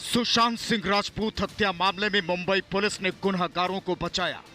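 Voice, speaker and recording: A man's voice: high-pitched, normal speed. A man reading a scripted news report in an emphatic, accusatory way. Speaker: news narrator. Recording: news voice-over with music bed. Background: music.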